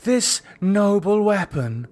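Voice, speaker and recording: A man acts out a commanding high priestess of a vampire cult in a horror audiobook. The voice is pitched well up and declaims each phrase with solemn, exultant fervour, like ritual liturgy. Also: sing-song, slow, darkish tone, faint music behind, full character voice.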